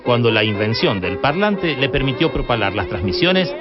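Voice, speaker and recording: A man's voice: medium pitch, sing-song, moderate pace. An Argentine man reading scripted documentary narration as the programme's narrator. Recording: dull audio under loud music. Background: loud music.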